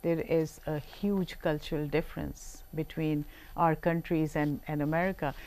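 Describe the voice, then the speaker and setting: A woman hosts an interview, putting her questions in a formal, deliberate way. Her voice is on the lower side. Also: fairly even intonation, darkish tone. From Pakistan. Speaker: talk show host. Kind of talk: hosting an interview.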